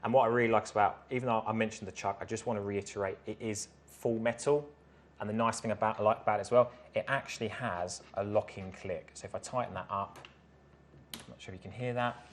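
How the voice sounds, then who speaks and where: A man's voice: fairly low pitch, fairly even intonation, fast talker, a little hiss or hum. Man casually demonstrating a product. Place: indoor tool workshop showroom.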